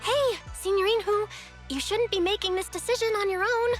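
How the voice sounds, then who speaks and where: High-voiced, spirited young sister. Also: female voice, normal speed, soft background music. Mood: frank. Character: casual, spirited young sister who investigates cases. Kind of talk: anime-style game voice acting.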